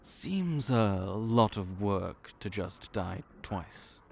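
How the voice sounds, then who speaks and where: A man's voice: fairly low pitch, animated, drawn-out words, gravelly, slight background noise, heavily acted. A man voicing a male vampire intake officer in an audio roleplay, matter-of-fact and wry as he walks through the paperwork.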